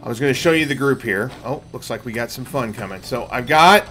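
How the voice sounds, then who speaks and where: A man's voice: medium pitch, a little fast, a bit bright. Man commentating on gameplay, music behind. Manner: explanatory, addressing viewers. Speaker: game streamer and host. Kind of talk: casual live gameplay commentary.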